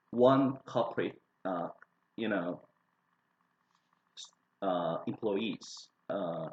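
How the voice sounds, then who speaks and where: A man in his forties with a Korean accent, speaking English as a second language, talking about his life in a hesitant way with many pauses and restarts. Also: lowish voice, fairly bright tone, echoey room, dull audio, slight background noise. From South Korea. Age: 40s. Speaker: English teacher. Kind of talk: casual personal monologue.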